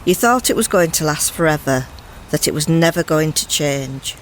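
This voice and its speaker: A woman's voice: sits low, expressive, normal speed, a little hiss or hum, poor audio. A woman casually reminiscing about the past.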